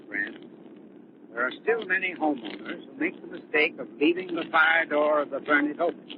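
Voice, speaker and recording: A man giving measured, instructive scripted advice as an expert in a 1930s radio advertisement. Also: fairly high pitch. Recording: dull, noisy 1930s radio recording.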